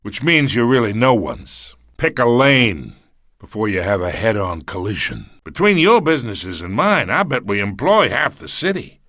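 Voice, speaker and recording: A slick menacing mob boss for a video game, slangy and taunting, voice swings around a lot. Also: male voice, lowish voice, big pitch swings, moderate pace, sharp tone, dry recording, clean studio sound, heavily acted. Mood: coolly threatening. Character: smug, menacing middle-aged male crime boss.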